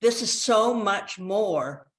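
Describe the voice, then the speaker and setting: A woman's voice: medium pitch, lively intonation, slow. A woman in her eighties speaking as an interview guest, telling her own story in a reflective, conversational way. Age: 80s.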